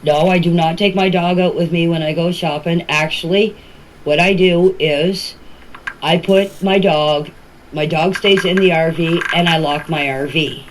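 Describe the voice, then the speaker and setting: An older woman with a Canadian Maritime accent speaks informally, answering questions and often repeating and rephrasing herself. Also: sits low. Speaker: family member speaking about a case. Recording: noisy amateur voice clips with clicks.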